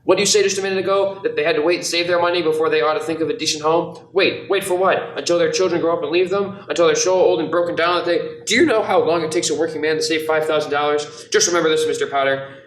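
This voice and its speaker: A young man playing an earnest, restless small-town dreamer with halting, insistent delivery in an amateur radio play. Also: on the high side, fairly even intonation, close to an everyday voice. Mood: indignant. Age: young adult. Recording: echoey room, distant mic, some noise.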